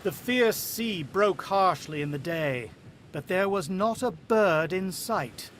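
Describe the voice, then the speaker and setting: Actor as shaken family man, lively pitch. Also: a little hiss or hum, heavily acted. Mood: grim, somber. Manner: hesitant, halting when explaining himself. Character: shaken, earnest family man and farm hand, protective father. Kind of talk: radio drama acting and first-person narration.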